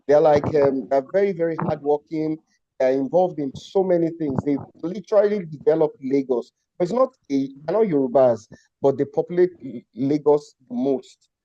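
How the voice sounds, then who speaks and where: A man from Nigeria, a guest in a political discussion, speaking in a measured, agreeable way. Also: on the high side, warm tone, dull audio, a little hiss or hum.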